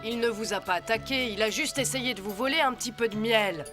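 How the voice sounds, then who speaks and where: A woman's voice: fairly high pitch, a little fast, close to an everyday voice. A woman voicing a young male lion cub in a cartoon, earnest, fair-minded and reasoning. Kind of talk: French cartoon dubbing. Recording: music behind the voice.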